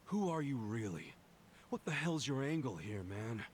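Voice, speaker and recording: A man's voice: mid-range voice, big pitch swings, normal speed, a little hiss or hum, close to an everyday voice. A man playing a defiant, brash ex-yakuza fresh from prison in an English-dubbed video game, foul-mouthed and emphatic. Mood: defiant, guarded.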